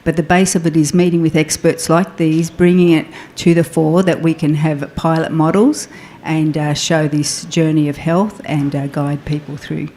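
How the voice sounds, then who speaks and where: A woman's voice: sits low, flat delivery, moderate pace, dark tone, a little hiss or hum. A woman founder introducing her health platform on a panel, explaining with some fillers.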